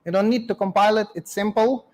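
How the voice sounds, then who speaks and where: This is a man with a Ukrainian accent and a fairly high voice, giving a technical talk. He speaks in short, practical, clipped phrases, like a guest expert answering an audience. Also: fairly even intonation, quick pace.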